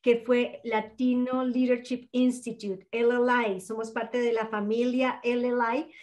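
A woman's voice: a little high, flat delivery, a little slow, darkish tone. A woman hosting a podcast, greeting listeners and introducing her guest in an upbeat way. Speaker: podcast host.

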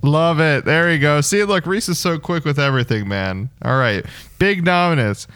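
A man's voice: fairly high pitch, lively intonation, moderate pace, fairly bright tone, clear, resonant tone, dry recording, studio quality. A man co-hosting a casual chat, informal and agreeable, with encouraging remarks for his partner. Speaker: co-host.

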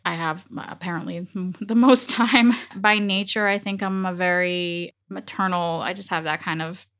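A woman's voice: lowish voice, fairly even intonation, moderate pace, a bit bright. A woman in her forties, raised in the Dominican Republic with Spanish as her first language, telling her story earnestly and reflectively as an interview guest. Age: 40s. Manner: earnest, reflective, laughs lightly. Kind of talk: casual personal storytelling.

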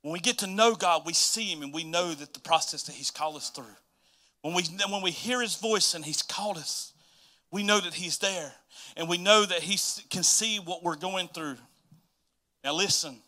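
Male preacher, Southern US accent, very bright forceful tone, slightly high pitch, informal folksy sermon, scripture reading, emphatic then hushed. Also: moderate pace, long pauses. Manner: informal, folksy, addresses listeners directly.

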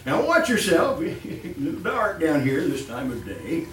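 A man's voice: fairly high pitch, wildly swooping pitch, moderate pace, fairly bright tone, reverberant, recorded from a distance, a little hiss or hum, poor audio. A man playing a fussy, murderous master of the house in a 1930s-style radio horror play, with a stammering, repetitive, chuckling delivery. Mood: chuckling, eager. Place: live stage performance in a room.

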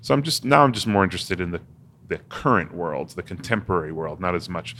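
A man's voice: sits low, animated, fast talker, fairly bright tone, slight background noise. A man from Massachusetts, a guest in an interview, talking casually and rambling with many restarts and fillers. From Massachusetts, USA. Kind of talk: casual conversation.

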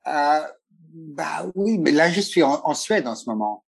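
A man's voice: a little high, expressive, measured pace, vocal fry, husky. Hesitant man in casual talk. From Italy. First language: Italian. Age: middle-aged. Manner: hesitant, many restarts and fillers. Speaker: interview guest, fashion photographer. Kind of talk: casual conversational interview.

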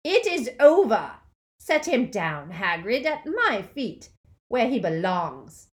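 A woman doing a cold, gloating dark lord from a storybook reading, his voice soft and silky with a snake-like hiss. He speaks higher and louder than the narration around him, rising and swooping as he mocks his enemies. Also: sing-song, takes it slowly. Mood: triumphant, gloating. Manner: soft, silky, hissing menace.

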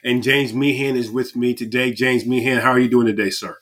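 Stuffy, energetic man. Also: mid-range voice, fairly quick. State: stuffy nose. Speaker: podcast host. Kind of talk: casual podcast chat.

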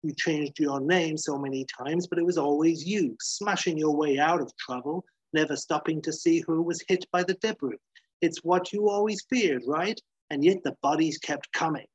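A man's voice: medium pitch, lively intonation, normal speed, a little mellow, slightly rough. A man voicing a relentless, cruel accuser in a dramatic story, hammering out heated rhetorical questions. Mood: heated, accusing. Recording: dull, roomy sound.

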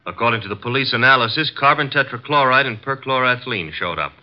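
Man as a wry young sidekick, brisk and wisecracking, mid-pitched voice, dull old radio recording. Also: natural voice. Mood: eager reasoning. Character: wry young male assistant detective, cocky. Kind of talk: 1950s radio drama acting. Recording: dull lo-fi 1950 radio transcription.

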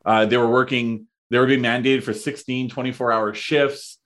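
A man in his thirties, chatting as a podcast guest, talking in a halting way with lots of fillers and restarts. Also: medium pitch, steady tone, fairly bright tone. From Canada. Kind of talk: casual conversation.

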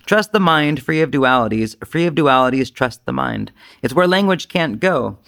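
A man's voice: medium pitch, expressive, moderate pace, vocal fry, fairly bright tone. A man speaking as a Zen teacher in an informal dharma talk, with a self-deprecating, rambling manner.

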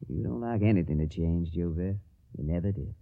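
An actor playing a confident, affectionate young Confederate volunteer in a radio drama, speaking in an earnest, assured manner. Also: male voice, sits low, a little fast, creaky. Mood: fond, teasing. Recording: dull, muffled radio recording.

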